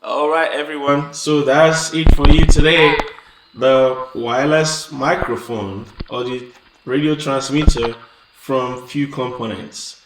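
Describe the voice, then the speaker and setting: A man's voice: medium pitch, fairly even intonation, slow pace. Man explaining electronics in an echoey room. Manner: explains step by step with frequent restarts and fillers. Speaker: electronics channel host. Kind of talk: casual hands-on tutorial. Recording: echoey room, mic at a distance, noisy.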